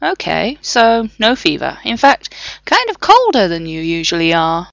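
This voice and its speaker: A woman's voice: medium pitch, huge pitch swings, a little slow, vocal fry, dry recording, exaggerated voice. Woman doing a sarcastic ranting scientist man. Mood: knowing. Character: sarcastic ranting male scientist, quick and cutting.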